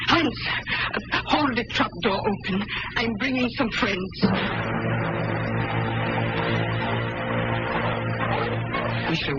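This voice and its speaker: A woman's voice: mid-range voice, lightly acted. A woman playing a brave, kind young German farm woman who shelters fugitives, in an old-time radio drama, speaking earnestly. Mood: urgent. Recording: dull, very noisy radio drama recording.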